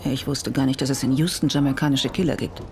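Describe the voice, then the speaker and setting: An actress playing a tough, sceptical prosecutor in a radio drama, speaking brusquely. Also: female voice, a bass voice, steady tone, fast, background noise.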